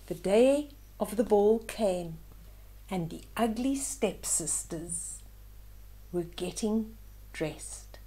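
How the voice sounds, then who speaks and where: Woman reading a fairytale to little kids, really slow mellow voice, expressive, goes hushed a lot. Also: mid-range voice, husky. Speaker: children's storyteller. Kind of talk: storybook read-aloud with asides to young listeners.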